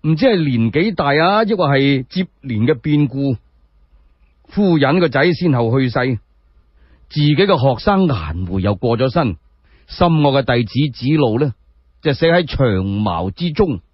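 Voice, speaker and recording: A man with a Cantonese accent narrates a tale in dramatic, expressive fashion. His voice is raspy and swings widely in pitch. The recording is dry and slightly muffled. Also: measured pace, no room sound. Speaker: solo storyteller. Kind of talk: dramatic scripted storytelling narration. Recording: dry, dull lo-fi audio.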